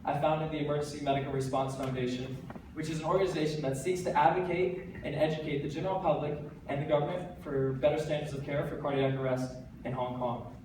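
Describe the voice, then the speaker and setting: Earnest American teen in an echoey hall. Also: male voice, a little high, quick pace. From United States. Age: teens. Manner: earnest, energetic, with light self-deprecating jokes. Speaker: TEDx speaker. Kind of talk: stage talk to a live audience. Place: large hall. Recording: very echoey hall, voice from a distance, faint background noise.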